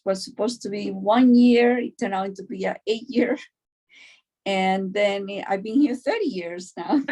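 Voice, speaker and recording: Middle-aged Cuban-born woman, halting conversational delivery. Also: lowish voice, a little mellow. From Cuba, later Florida. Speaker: doctor, interview guest. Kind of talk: conversational personal account.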